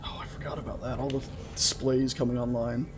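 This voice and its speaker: A man's voice: medium pitch, level tone, fairly quick. A man hosting a gaming video with casual, chatty, playful and enthusiastic let's-play commentary. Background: game music.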